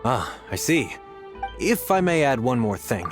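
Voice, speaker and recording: An obliging male innkeeper, speaking with animated intonation. He sounds like a helpful game character, with clear music playing behind him. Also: medium pitch, measured pace. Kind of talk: anime game voice acting.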